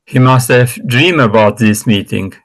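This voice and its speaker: Adult male learner, first language Romanian, halting and searching for words on a video call. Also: mid-range voice, a crowd in the background. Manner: hesitant, many restarts and fillers. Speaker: student. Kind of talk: practicing English in a lesson.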